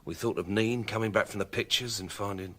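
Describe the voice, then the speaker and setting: Casting: defensive, uneasy small-time crook, British accent, low husky voice, radio drama. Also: male voice, steady tone, brisk, bright, forceful tone. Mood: shaken. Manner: plain-spoken, defensive, uneasy. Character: wary small-time crook, loyal racetrack regular, man.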